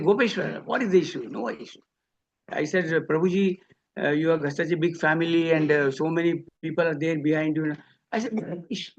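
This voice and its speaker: A man from India with an Indian accent gives a memorial tribute, telling personal anecdotes and acting out both sides of conversations, on a lo-fi recording. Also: sits high. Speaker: devotee giving a memorial tribute. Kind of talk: spoken eulogy with personal anecdotes. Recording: dull lo-fi speech recording.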